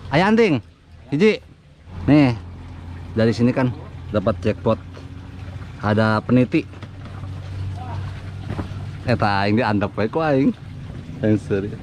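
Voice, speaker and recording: A man in his thirties with a Sundanese accent, giving excited live commentary while fishing outdoors at a pond, often laughing and yelping. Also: medium pitch, takes it slowly, pauses often, a little hiss or hum, low-quality recording. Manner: excitable, laughing, yelps when fish bite. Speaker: fishing vlogger.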